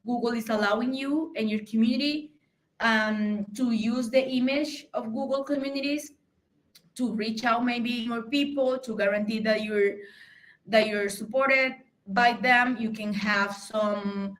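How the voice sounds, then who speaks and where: A woman's voice: fairly high pitch, fairly even intonation, measured pace, poor audio. A young adult woman from Colombia with a Colombian accent, Spanish her first language, giving an informal tech talk at a conference with many fillers and restarts. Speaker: conference speaker.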